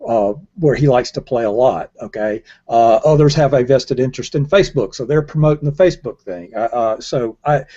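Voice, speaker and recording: A man's voice: mid-range voice, fairly quick, few pauses, mellow. An older man speaking informally as a panelist on a video call. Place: indoor room on a video call. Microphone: earbud headset. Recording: dull lo-fi video call audio.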